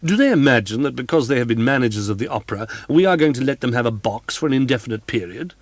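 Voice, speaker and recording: A man's voice: medium pitch, animated, fast, no room sound. A man voicing a bewildered, indignant male opera co-manager asking pointed questions.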